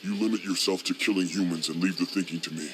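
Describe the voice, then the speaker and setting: A man voicing a scheming demon warlord in a game cutscene, speaking in a smug, slow, menacing manner. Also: sits low, steady tone, a bit bright, a little hiss or hum. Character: scheming male demon warlord, cold and commanding. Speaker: villain leader.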